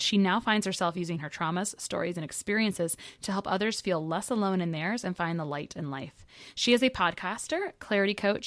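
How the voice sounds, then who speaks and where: A woman's voice: medium pitch, fairly bright tone, no echo, studio quality. A woman hosting a podcast in casual, chatty talk that rambles into side notes. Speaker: podcast host. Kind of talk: casual podcast interview chat.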